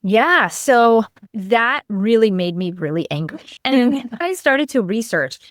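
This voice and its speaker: A woman's voice: a little high, expressive, takes it slowly, no room sound, clean studio sound. A middle-aged woman from Lithuania telling a personal story conversationally as an interview guest, acting out bits of dialogue as she goes. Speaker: podcast guest, clinical nutritionist.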